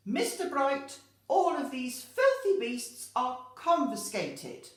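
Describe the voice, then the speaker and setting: A woman's voice: a little high, big pitch swings, takes it slowly, echoey room. A woman voicing a fierce, bossy storybook headmistress who bellows and snaps imperiously. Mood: menacing, bossy.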